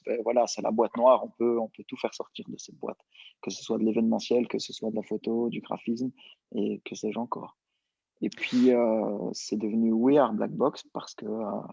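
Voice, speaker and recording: Young male guest, low husky gravelly voice, relaxed hesitant delivery, dull lo-fi recording. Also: normal speed, no echo. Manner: relaxed, hesitant with many fillers. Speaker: entrepreneur guest. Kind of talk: casual interview answers. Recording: dull lo-fi sound.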